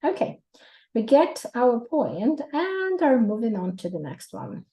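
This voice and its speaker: A woman in her thirties with a Russian accent teaches an exam prep lesson. Her voice is mellow and warm, and she explains in a lively way, with examples and easy laughs. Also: on the high side, unhurried, poor audio. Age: 30s. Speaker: English teacher.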